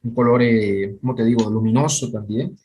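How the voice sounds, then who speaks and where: A man teaching in a relaxed conversation on a video call, explaining step by step and checking in with his listener. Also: medium pitch, moderate pace, a little hiss or hum. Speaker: Buddhist teacher.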